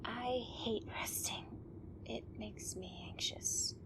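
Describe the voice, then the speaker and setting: A woman playing an injured, stubborn, sardonic tough woman in an audio drama, often hushed and breathy. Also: fairly high pitch, takes it slowly, whispered, rough recording. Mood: wry. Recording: clear background ambience noise.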